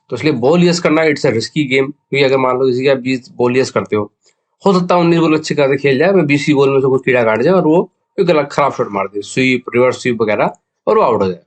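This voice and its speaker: A man with an Indian accent and a sore throat gives a casual explainer monologue as a video host, mixing two languages conversationally. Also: mid-range voice. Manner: conversational Hindi-English code-mixing, explanatory. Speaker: video host, cricket betting tipster.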